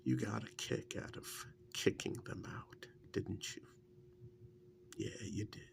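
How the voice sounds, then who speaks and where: A man playing a fondly reminiscing, teasing male partner and former captive in an ASMR role-play, in a breathy half-whisper with gravelly fry and a halting, conversational delivery. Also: low-pitched, very animated, full character voice. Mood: teasing, intimate. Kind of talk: soft-spoken ASMR role-play monologue.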